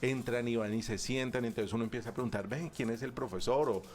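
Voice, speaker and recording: A man's voice: mid-range voice, fast talker, gravelly. A man, a public speaking instructor talking as a guest in a radio booth, emphatic and stacking repeated parallel phrases. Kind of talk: radio interview guest explaining.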